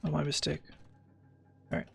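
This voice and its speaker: A woman giving casual commentary while playing a video game, sometimes reading game text aloud. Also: normal speed.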